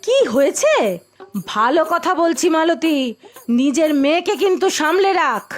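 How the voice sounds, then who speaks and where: A woman's voice: very high-pitched, expressive, moderate pace, soft background music. A woman voicing a spiteful, quarrelsome middle-aged village aunt in a cartoon, scolding and sneering. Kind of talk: cartoon voice acting.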